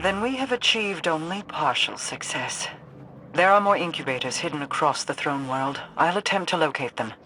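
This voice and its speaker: A woman's voice: low-pitched, lively intonation, normal speed, pushed and bright, slight background noise, rough recording. An actress playing an imperious, secretive alien queen in a video game, speaking in formal, clipped, aloof phrases. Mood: grave, guarded. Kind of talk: scripted video game dialogue. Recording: music behind the voice.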